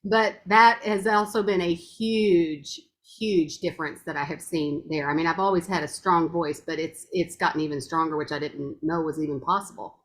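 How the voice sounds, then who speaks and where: A woman's voice: sits low, fairly even intonation, moderate pace, few pauses, slight background noise. A middle-aged woman talking casually in a bubbly, gushing, chatty way. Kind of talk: casual chat.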